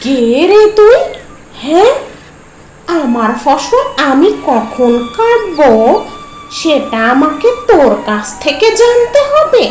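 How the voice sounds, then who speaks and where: A gruff, rude farmer from a cartoon who brushes others off, as a woman acts him. He talks slowly in an echoey space over music. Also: high voice, big pitch swings, darkish tone, exaggerated voice. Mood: dismissive. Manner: rude, dismissive.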